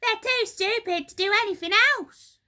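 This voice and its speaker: Shrill, nasty storybook wife. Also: female voice, normal speed, sharp tone. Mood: gleeful scorn. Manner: screaming, scornful, dramatic. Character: nasty, shrill, panicky married woman in a children's story.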